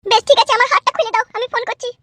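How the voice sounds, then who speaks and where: Woman playing a squeaky, pleading cartoon teenage girl. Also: fairly even intonation, very fast, background noise. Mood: scared, pleading. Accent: rural West Bengal. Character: pleading, frightened teenage daughter of a politician. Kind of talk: comic cartoon dub.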